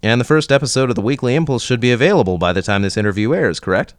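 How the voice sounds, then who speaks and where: A man's voice: mid-range voice, lively intonation, rapid-fire, clear, resonant tone, dry recording, clean studio sound. A man hosting a playful scripted podcast interview with a wry, mock-formal manner. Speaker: podcast host.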